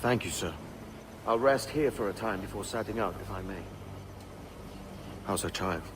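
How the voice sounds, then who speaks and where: An actor playing a remorseful, plain-spoken pirate captain in a video game cutscene. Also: male voice, lowish voice, moderate pace, noisy background, natural voice. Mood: rueful, weary. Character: weary, remorseful pirate captain, adult man.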